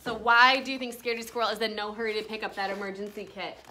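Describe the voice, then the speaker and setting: A woman teacher reading a picture book aloud to a class in an expressive, instructional way, pausing to explain and ask questions. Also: medium pitch, a little hiss or hum. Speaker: elementary school teacher.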